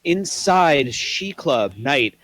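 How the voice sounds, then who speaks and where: A man's voice: on the high side, big pitch swings, drawn-out words, fairly bright tone, no room sound. A man reading scripted stage directions as narrator for a table read.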